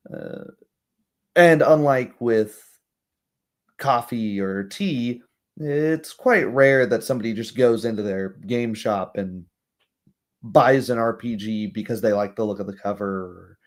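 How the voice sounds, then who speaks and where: A young man hosting a livestream with casual commentary, speaking in a rambling, halting way and often checking in with tag questions. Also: fairly low pitch. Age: young adult. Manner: rambling, halting asides, frequent "right?" tags. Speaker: livestream host.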